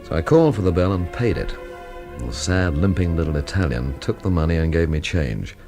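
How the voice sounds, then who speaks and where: Sardonic English man, low voice, lively intonation, dry scornful wit, radio drama, music behind. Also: fairly quick. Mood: wry, brooding. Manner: wry, dry, scornful wordplay. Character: sardonic, cool Englishman narrating his own mystery. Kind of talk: radio drama acting with first-person narration.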